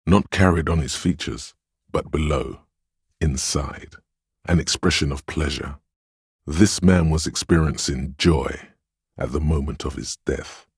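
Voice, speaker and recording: A man voicing a game's empathetic inner voice, a perceptive and tender narrator with a husky, very deep voice and measured, literary delivery. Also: no echo, studio quality, heavily acted. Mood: sympathetic, knowing. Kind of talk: scripted game narration.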